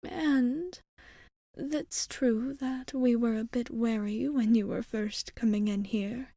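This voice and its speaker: A woman playing a gentle, courteous vampire bartender in an ASMR roleplay, soft-spoken and breathy, often near a whisper, with hesitant, formal phrasing. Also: on the high side, no echo, heavily acted. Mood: fond, reassuring. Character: gentle, courteous female vampire bartender, tenderly devoted immortal. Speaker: ASMR performer. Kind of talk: ASMR roleplay addressed to the listener.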